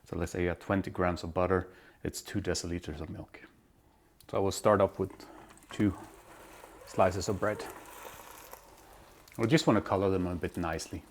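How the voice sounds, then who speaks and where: A man with a fairly low voice hosts a home cooking show from his kitchen. He explains each step calmly and plainly, as if talking a friend through the recipe. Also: normal speed, pauses often, a little hiss or hum. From Belgium. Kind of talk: casual cooking demonstration.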